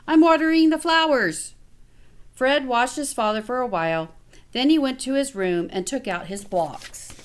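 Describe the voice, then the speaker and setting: A woman's voice: fairly high pitch, clear, resonant tone. A woman teacher reading a picture book aloud to children, expressively and with casual asides. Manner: expressive, pausing to comment to children.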